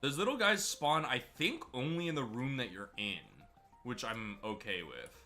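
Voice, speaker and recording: A man streaming a game, chatty and excitable, sounding a bit stuffy from a cold. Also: medium pitch, normal speed, faint music behind. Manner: chatty, excitable over wins. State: stuffy, slightly sick. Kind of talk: gameplay commentary.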